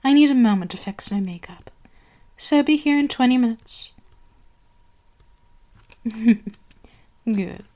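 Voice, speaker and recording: A woman playing an imperious, seductive vampire queen in an ASMR roleplay, haughty and menacing, drifting into breathy whispers. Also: a little high, animated, takes it slowly, pauses often, a little mellow. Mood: brisk, commanding.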